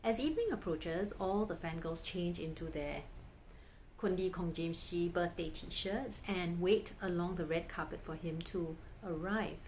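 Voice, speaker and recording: A woman's voice: fairly low pitch, measured pace, darkish tone, a little hiss or hum, low-quality recording. A woman narrating her own vlog in a chatty, gushing fan manner. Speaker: vlogger. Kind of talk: casual narration.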